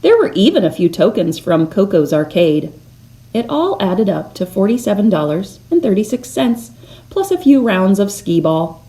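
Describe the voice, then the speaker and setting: A woman storyteller reading a children's picture book aloud expressively, with deliberate dramatic emphasis on key words. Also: medium pitch, very animated, mellow.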